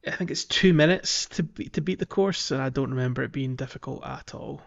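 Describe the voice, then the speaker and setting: A man co-hosting a gaming podcast, chatting casually and haltingly as he gathers his thoughts. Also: medium pitch, creaky, muffled recording. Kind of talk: casual chat about a video game.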